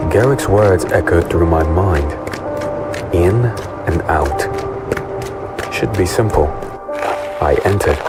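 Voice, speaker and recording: A man playing a brooding, haunted sellsword who narrates a dark fantasy audio drama in a grave, deliberate manner. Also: low voice. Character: brooding male sellsword infiltrator, haunted former prisoner, narrating. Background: music under the voice.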